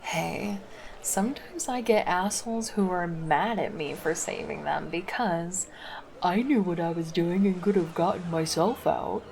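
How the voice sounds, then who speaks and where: Woman plays flirty, caring female lifeguard for ASMR roleplay; unhurried, lowish voice, soft and reassuring. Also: vocal fry. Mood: soothing, reassuring. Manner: soft, intimate, casual, reassuring. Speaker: roleplay voice performer. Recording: background noise under the voice.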